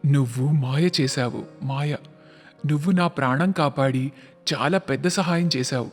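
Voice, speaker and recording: A man's voice: medium pitch, a little slow, close to an everyday voice. An actor playing a proud young businessman in a cartoon story, speaking in an emphatic, dramatic way. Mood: grateful, tender. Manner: emphatic, dramatic, repeats words. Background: background music.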